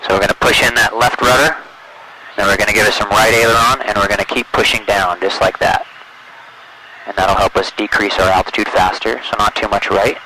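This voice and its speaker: A man's voice: fairly low pitch, steady tone, brisk, rough recording. A man working as a flight instructor, giving encouraging step-by-step directions over a headset in a small plane. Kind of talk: calm step-by-step flying instruction with radio calls. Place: small airplane cockpit in flight. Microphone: aviation headset. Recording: loud aircraft cabin noise, intercom audio.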